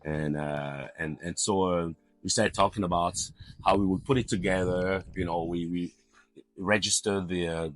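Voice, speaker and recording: A man's voice: low voice, steady tone, normal speed, dry recording. A man in his early fifties with a Cameroonian accent, telling his own story conversationally as an interview guest, often repeating words as he forms his thoughts. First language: not English. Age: early 50s. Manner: hesitant, repeats words while forming thoughts.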